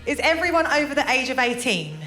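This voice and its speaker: A woman's voice: high-pitched, big pitch swings, a little fast, loud music behind the voice. A woman hosting a live comedy show from the stage. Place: on stage in a radio theatre.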